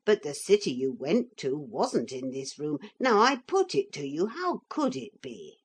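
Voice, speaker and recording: A woman's voice: low-pitched, big pitch swings, unhurried, slightly rough, full character voice. A woman reading a storybook, voicing a small wooden figure come to life who explains things patiently and plainly. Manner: patient, matter-of-fact explaining. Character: calm, wise little wooden man come alive.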